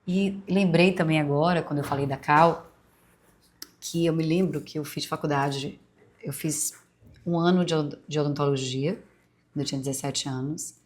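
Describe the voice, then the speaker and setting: A woman in her forties with a Bahian Brazilian accent softened by years in Rio, hosting a podcast in a rambling, confiding personal monologue full of asides. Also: deep voice, steady tone, normal speed. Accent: Brazilian Portuguese, Bahian softened by years in Rio. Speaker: podcast host.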